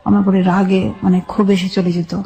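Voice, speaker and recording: Sombre, even-toned Bangladeshi woman. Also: medium pitch, a little fast. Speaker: guest telling her life story. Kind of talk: personal testimony in a radio interview.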